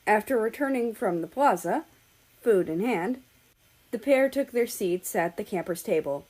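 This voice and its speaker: A woman's voice: mid-range voice, lively intonation, moderate pace, darkish tone, rough recording. A woman reading a story aloud as a scripted narration, in the role of an audiobook-style reader.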